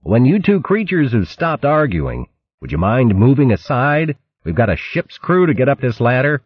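A steady warrior mouse speaks in a calm, earnest way, as a man reads him in a storybook. The voice stays close to the reader's own husky tone. The recording is dull and dry. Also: medium pitch, moderate pace, no echo.

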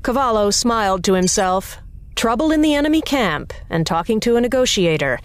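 A woman narrating an audiobook in an even, measured storytelling manner. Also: a little high.

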